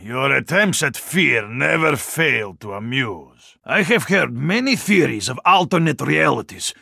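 A hulking, ice-cold Russian super-soldier for a video game. His voice has a gravelly edge and swings widely in pitch. He speaks in a formal, earnest, stoic way with a Russian accent, recorded very dry and close. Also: lively intonation, bright, forceful tone, no echo, exaggerated voice. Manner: formal, deliberate, literal-minded phrasing with dropped articles. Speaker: game character voice actor.

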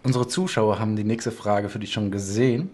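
A man's voice: fairly low pitch, a little fast, darkish tone. A man in his thirties or forties hosting a casual interview, enthusiastic and often breaking off to restart his thought. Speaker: interview host. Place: small home studio room.

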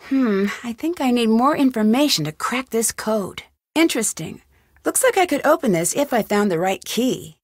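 A woman's voice: a little high, animated, moderate pace, dry recording, studio quality. An actress playing a curious, upbeat young woman detective in an adventure game, thinking aloud in short scripted remarks. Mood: curious, focused.

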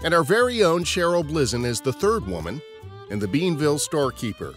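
A man's voice: on the high side, sing-song, a little fast, fairly bright tone. An American man hosting a podcast, reading scripted narration. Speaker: podcast host. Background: guitar music.

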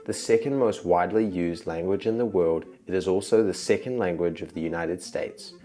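A man with a very deep voice reads a formal promo narration, smooth and scripted. Soft guitar music plays behind him. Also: normal speed.

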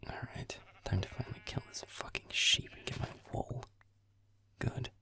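A man playing a grumpy, sarcastic werewolf boyfriend in an audio roleplay, muttering dry game commentary in a husky, whispery voice. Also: moderate pace, sharp tone. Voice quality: husky, gravelly, whispery low voice.

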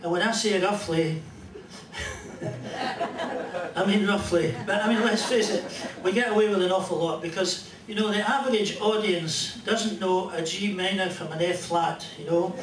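An older man with a Scottish accent telling jokes and a rambling story at a stand mic on a small club stage, in a dry, unhurried way. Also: sits high, a crowd in the background. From Scotland. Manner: dry, rambling joke delivery with pauses. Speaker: comedian and musician. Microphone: stand mic, filmed from a distant camera. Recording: roomy club sound from far off.

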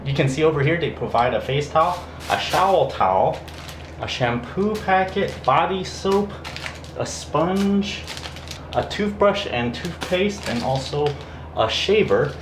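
A man's voice: a little high, lively intonation, unhurried. A man in his thirties vlogging to a camera held at arm's length, chatting and explaining costs and details as he walks around an internet cafe. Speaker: travel vlogger. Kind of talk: casual vlog talk to camera. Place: small internet cafe indoors, later a street. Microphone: handheld camera at arm's length. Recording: noisy background hum.

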